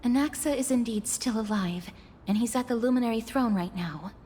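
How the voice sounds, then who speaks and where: A woman playing a gentle, composed young maiden in a video game, speaking softly and formally. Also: a little high, in a large hall, slight background noise, natural voice. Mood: soft, thoughtful. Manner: soft, formal, measured.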